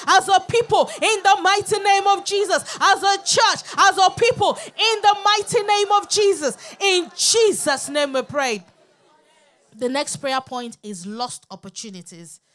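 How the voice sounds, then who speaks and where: A woman preaches and leads prayer in a high-pitched voice. Her delivery is fervent and insistent, built on repeated phrases. She exhorts the congregation with urgency. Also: fairly bright tone.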